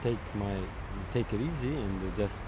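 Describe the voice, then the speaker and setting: A man being interviewed outdoors, speaking haltingly with many fillers as he searches for words. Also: on the low side, animated. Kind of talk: casual street interview. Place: outdoors on a city street. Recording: outdoor street noise with traffic.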